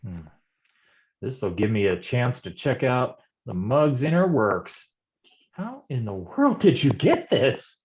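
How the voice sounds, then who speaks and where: An actor playing a hard-boiled male private detective in a noir staged reading, gruff and wisecracking in old-time gumshoe slang. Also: medium pitch, sing-song, slow pace, lo-fi sound. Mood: gruff, protective.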